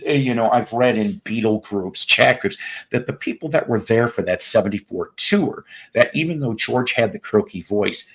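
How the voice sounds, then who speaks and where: A man with a raspy, hoarse voice hosting a music podcast, joking and rambling through tangents in casual chat. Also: medium pitch, normal speed, fairly bright tone, poor audio. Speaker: podcast host.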